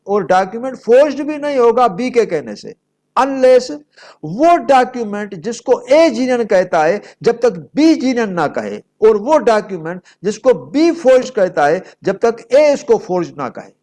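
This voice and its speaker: A man's voice: high-pitched, a little mellow. A man with a Pakistani accent giving an explanatory law lecture in a didactic way, posing questions and answering them as he works through examples. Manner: didactic, switches between Urdu and English, poses questions and answers them.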